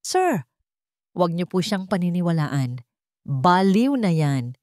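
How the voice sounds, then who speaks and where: A woman's voice: on the low side, big pitch swings, slow pace, darkish tone, no room sound, clean studio sound. A woman voicing an arrogant male office boss who is flustered and pleading before his superior in a narrated drama. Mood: desperate.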